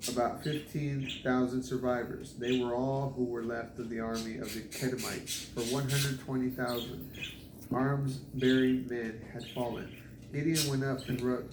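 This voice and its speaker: A man reads scripture aloud at an ordinary middle pitch. His delivery is halting, with stumbles over difficult names. The recording is echoey, with clear noise behind him. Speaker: scripture reader. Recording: echoey room with background noise.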